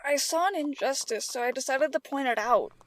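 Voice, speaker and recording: A woman's voice: sits high, fairly quick, no room sound, natural voice. A woman voicing an earnest, stubborn teenage pony princess in a fan audio drama, outspoken and articulate. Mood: earnest, regretful. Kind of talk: animated-fandom audio drama acting.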